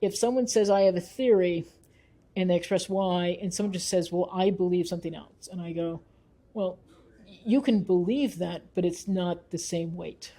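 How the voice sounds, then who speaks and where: A woman's voice: fairly low pitch, normal speed, mellow. A woman being interviewed as a researcher, talking conversationally and emphatically, often acting out little exchanges as she explains.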